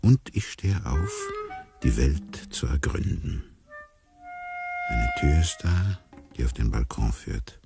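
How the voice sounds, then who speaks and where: An actor playing a bewildered amnesiac man who muses wryly and deliberately in a radio drama monologue. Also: extremely low pitch, very animated, vocal fry, loud music behind the voice, full character voice. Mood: musing, bewildered. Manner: deliberate, musing, wry. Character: bewildered amnesiac man narrating his inner thoughts.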